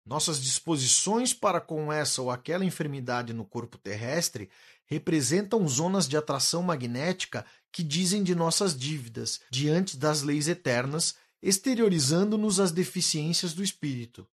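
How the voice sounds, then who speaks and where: An actor playing a calm, wise male spirit instructor who speaks in a measured, gently authoritative way. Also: fairly high pitch, slightly rough. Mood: patient teaching. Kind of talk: radio drama acting.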